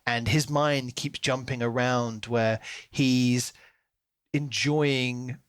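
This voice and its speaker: A man with a mid-pitched voice in casual podcast discussion. He talks things through discursively, with conversational asides, and the sound is close and dry. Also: steady tone, very slow, smooth, no echo. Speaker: podcast co-host discussing books. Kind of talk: casual analytical chat.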